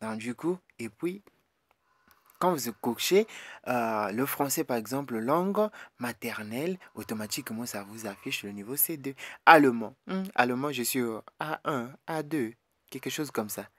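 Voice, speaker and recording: Guy doing a screen walkthrough, kinda out of breath, chatty and repeats stuff, average pitch, dry close sound. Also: lively intonation, normal speed, fairly bright tone, dry recording. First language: not English; French preferred language. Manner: chatty, repeats himself, many fillers. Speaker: YouTube channel host giving an application tutorial. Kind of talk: casual screen-recorded walkthrough.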